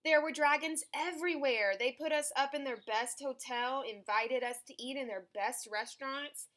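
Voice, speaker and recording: A woman reading a children's picture book aloud in an expressive storytelling style. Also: sits high, moderate pace. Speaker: storybook reader.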